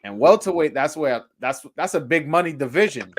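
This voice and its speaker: A man's voice: a little high, expressive, moderate pace. A young man hosting a casual live-stream interview, joking loosely, stammering and repeating words, switching into Spanish to translate for his guest. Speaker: host.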